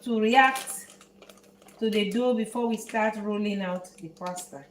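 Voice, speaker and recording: A Dutch woman with a Dutch accent, speaking English as her second language, hosting a live cooking tutorial from her home kitchen in an unhurried, halting way that repeats words as she works. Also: mid-range voice, reverberant, very noisy. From Netherlands. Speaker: home cook host.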